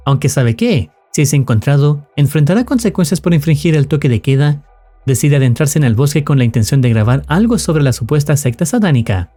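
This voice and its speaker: A man's voice: on the high side, animated, fairly quick, warm tone, soft background music. A man delivering formal, dramatic scripted narration that recaps an analog horror story.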